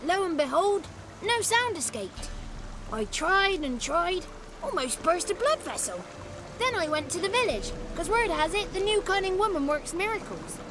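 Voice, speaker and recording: A woman's voice: very high-pitched, very animated, normal speed, background noise. A woman voicing a cheeky, childlike male swamp creature in a video game, talkative and theatrical, with boastful, florid wordplay. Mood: indignant, theatrical. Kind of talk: video game character acting.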